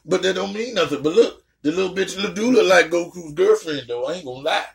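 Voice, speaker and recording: A young adult woman hosting a casual reaction chat, talking loud and brash, swearing a lot and trash-talking playfully. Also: on the low side, expressive, fast, gravelly. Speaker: host.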